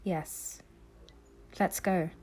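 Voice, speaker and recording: A woman's voice: drawn-out words, dark tone, slight background noise. A woman playing a frank, teasing but supportive friend of a prince in an audio drama.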